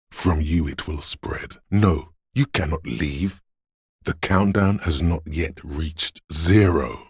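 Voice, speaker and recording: A male actor voicing a menacing inner voice of primal fear and rage in a video game, narrating in a gravelly, husky, ominous, deliberate way. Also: very deep voice, no echo, studio quality, full character voice. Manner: ominous, slow, deliberate delivery. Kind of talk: dramatic second-person game narration.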